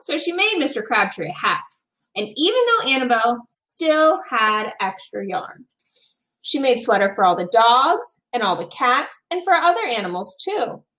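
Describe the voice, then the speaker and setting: A woman reading a picture book aloud as a teacher would, expressive and emphatic for young children. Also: on the high side, moderate pace, low-quality recording.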